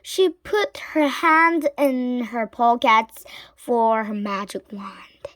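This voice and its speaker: A woman reading a children's story aloud in a dramatic way, sometimes hushed. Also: fairly high pitch, slow pace, dry recording. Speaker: storybook reader.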